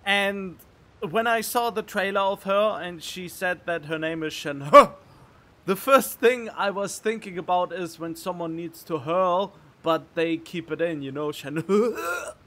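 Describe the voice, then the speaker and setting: A man giving casual let's play commentary as a game streamer, halting and repeating words as he thinks aloud. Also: high-pitched, expressive, bright, forceful tone.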